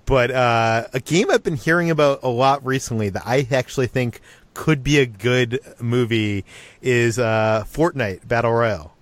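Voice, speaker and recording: A man's voice: mid-range voice, normal speed, dry recording. A man hosting a casual film podcast, talking informally and restarting sentences as he explains his ideas. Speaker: podcast host.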